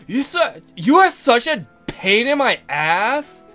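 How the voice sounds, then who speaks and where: A man's voice: very high-pitched, very animated, slow, bright, forceful tone, faint music behind, pitched above the performer's own voice, exaggerated voice. A man voicing an annoyed, airheaded young fairy-tale maiden in a visual novel, with a hesitant valley-girl drawl full of filler words.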